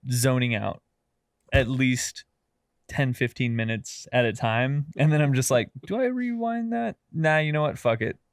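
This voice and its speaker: Man, nasal voice, smooth tone, casual co-host banter, dry close mic. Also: mid-range voice, animated, a little slow, dry recording. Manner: laughs often, swears casually. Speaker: podcast co-host.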